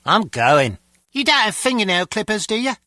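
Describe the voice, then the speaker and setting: A man's voice: high-pitched, big pitch swings, normal speed, bright, forceful tone, no echo, studio quality, full character voice. A man playing a grumbling, wisecracking henchman guard in a spy video game, talking in a sardonic, conversational way. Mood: irritated, sardonic. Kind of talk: video game dialogue acting.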